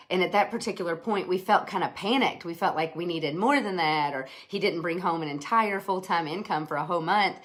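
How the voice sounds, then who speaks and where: A woman in her thirties with a slight head cold, giving an earnest, chatty business pitch on a live stream, with many fillers and restarts. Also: a bit bright. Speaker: network marketing presenter.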